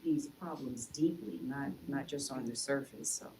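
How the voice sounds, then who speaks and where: Low-voiced woman author, heard from afar. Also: normal speed. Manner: warm, appreciative, stammering restarts. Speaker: author, journalist. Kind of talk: panel discussion. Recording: distant mic, faint noise.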